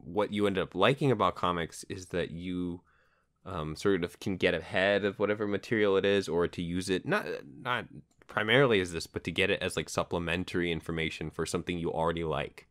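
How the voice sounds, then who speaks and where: A man's voice: low voice, steady tone, brisk, a bit bright, no echo. A man hosting a casual podcast conversation, a little hesitant in phrasing, with dry joking asides. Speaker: podcast host.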